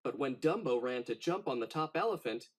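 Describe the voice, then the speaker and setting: A man narrating a children's storybook in an expressive, dramatic read-aloud style. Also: mid-range voice, a little fast.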